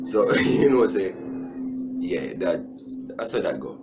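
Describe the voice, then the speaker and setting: Deep-voiced young Jamaican man over loud music. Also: slow. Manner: animated, joking, repeats himself for emphasis. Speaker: video host. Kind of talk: casual storytime chat. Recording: music playing behind the voice.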